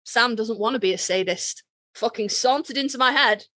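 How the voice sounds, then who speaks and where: A woman's voice: on the high side, moderate pace, bright, forceful tone, no room sound. A woman co-hosting a podcast in casual, candid chat, wry and sweary, acting out little dialogues with herself. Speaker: podcast co-host.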